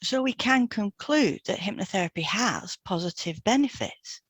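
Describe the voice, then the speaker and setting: A woman co-presents scripted educational material, explaining and summing up as she goes. Her voice is mid-pitched, and the recording is dry with very little room sound. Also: expressive, moderate pace, husky, dry recording. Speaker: podcast co-presenter and hypnotherapist.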